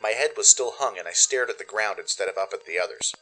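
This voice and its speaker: Bright-voiced man narrating a radio drama. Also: fairly low pitch, fast, poor audio. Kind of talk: dramatic scripted first-person story narration.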